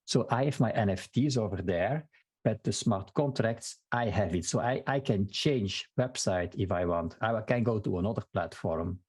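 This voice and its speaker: A man from Belgium with a Flemish accent and Dutch as his first language, a podcast guest giving steady, explanatory answers with frequent restarts. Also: lowish voice, moderate pace, slightly rough, no echo. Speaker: podcast guest, marketing strategist. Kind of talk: interview answers.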